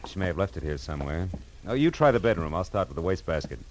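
A man's voice: deep voice, big pitch swings, quick pace, slight background noise, rough recording. A man with an American accent playing a tough, wry private detective in a 1940s radio crime drama, clipped and hard-boiled. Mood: businesslike. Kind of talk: 1940s radio crime drama acting, first-person narration. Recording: vintage radio recording with music behind.

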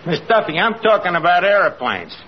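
Streetwise, high-pitched wisecracking barkeep. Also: male voice, big pitch swings, normal speed. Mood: sarcastic. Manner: streetwise, ungrammatical slangy talk. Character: dim, boastful wisecracking barkeep, mangles his English. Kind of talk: 1940s radio comedy acting. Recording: muffled, noisy old radio, studio audience laughter.

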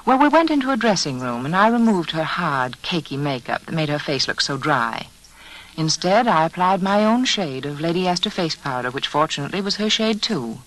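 Animated American woman, radio beauty adviser. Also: low-pitched, a little fast, a little hiss or hum, rough recording. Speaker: beauty authority and advertiser. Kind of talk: 1940s scripted radio advertisement, storytelling. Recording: 1940s radio broadcast.

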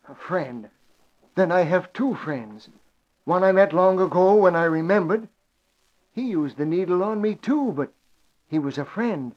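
Confused old man in an old radio play, wandering, halting, fuzzy lo-fi sound. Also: high voice, expressive. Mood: dazed. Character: confused frail old man drugged in a sanitarium. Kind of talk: 1940s radio acting. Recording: dull lo-fi old radio recording.

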